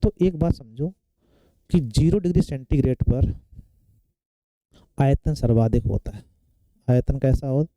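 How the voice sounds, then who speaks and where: A man's voice: mid-range voice, a little slow, pauses often, dark tone, no room sound, rough recording. A man giving an exam-prep science lecture, explaining step by step and often checking in with short tag questions. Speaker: science teacher for competitive exam students. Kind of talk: classroom lecture working through exam questions.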